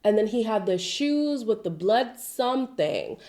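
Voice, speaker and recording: A woman from Zimbabwe who is sick, hosting a casual pop-culture commentary show on her own, chatty and expressive, with side tangents. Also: fairly high pitch, measured pace. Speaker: commentary channel host.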